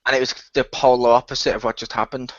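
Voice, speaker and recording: A man in a casual group chat, telling stories in an animated way, acting out quotes and swearing freely, on a slightly lo-fi recording. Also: mid-range voice, fast, no room sound. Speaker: radio play cast member on a wrap-up discussion.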